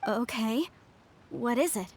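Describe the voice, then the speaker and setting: A woman's voice: a little high, lively intonation, slow pace, darkish tone, a little hiss or hum. A woman voicing an earnest, principled young female archaeologist in a video game, speaking politely but emphatically. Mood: curious.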